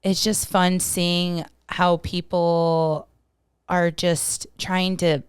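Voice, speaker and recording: A young woman from Minnesota with a Minnesotan accent, chatting as a podcast guest, full of fillers and quick laughs. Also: on the low side, little pitch movement, clear, resonant tone, no echo, clean studio sound. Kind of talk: casual podcast chat.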